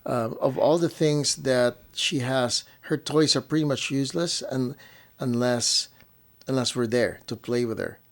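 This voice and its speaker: A man's voice: mid-range voice, fairly even intonation, measured pace. Casual man chatting as a parent co-host. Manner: frequent "you know", repeats phrases for emphasis. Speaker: parent co-hosting a family show. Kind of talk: casual conversational chat with partner.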